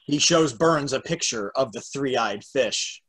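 Animated, husky man reading stage directions. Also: medium pitch, slow pace, rough recording. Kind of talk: amateur script table read. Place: indoors on a home video call.

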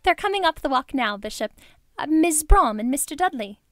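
Casting: actress as a deferential maid, high voice swooping about, for radio-style drama. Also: female voice, animated, normal speed, dry recording, studio quality, soft background music. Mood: chirpy, deferential. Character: deferential female household servant, chirpy. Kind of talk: film-adaptation audio drama acting.

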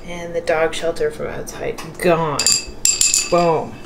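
Slow-talking woman narrating a cleaning vlog. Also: steady tone, gravelly, roomy sound, heavy background noise. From Canada. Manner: chatty, talks to her toddler in a high sing-song. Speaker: vlogger. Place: small tiled condo apartment, indoors.

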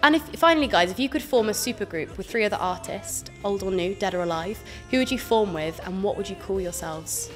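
Fast-talking young woman interviewer. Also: mid-range voice, faint music behind. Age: 20s. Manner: enthusiastic, encouraging. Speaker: festival presenter and interviewer. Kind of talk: casual on-location interviewing. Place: music festival, indoor venue and city streets. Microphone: handheld microphone.

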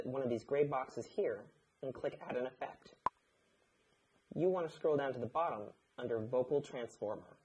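A man, narrating a scripted tutorial in a measured, instructional way. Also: medium pitch, mellow, a little hiss or hum, rough recording. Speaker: audio engineer, instructor. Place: indoor studio, seated at a desk.

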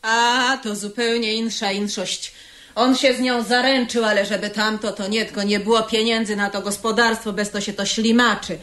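A woman's voice: on the high side, steady tone, moderate pace, a bit bright, close to an everyday voice. An actress playing a garrulous, deferential working-class godmother in a radio drama, with folksy, shrewd talk. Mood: deferential, chatty. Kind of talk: 1970s radio drama acting. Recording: roomy 1970s radio studio recording.

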